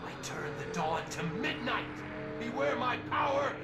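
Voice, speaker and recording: An actor playing an arrogant, menacing supervillain in a video game, grandiose and deliberate, with an echoing sound. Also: male voice, very high voice, sing-song, distant mic, heavily acted. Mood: chanting menace. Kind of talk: video game villain acting. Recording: echoing voice under loud music.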